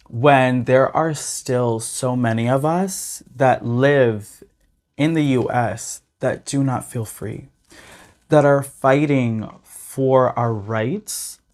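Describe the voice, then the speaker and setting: A man with a slightly hoarse voice hosting a tarot reading channel, talking casually and earnestly to his viewers. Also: mid-range voice, darkish tone. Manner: earnest, often adds "okay?" and "you guys". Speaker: tarot reader and channel host. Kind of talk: casual talk to camera.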